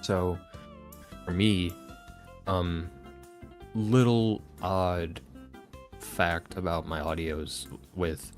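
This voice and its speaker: A man being interviewed on a casual podcast as a guest, speaking in a halting, reflective, self-deprecating way. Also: sits low, steady tone, faint music behind. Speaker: interview guest, ASMR roleplay creator.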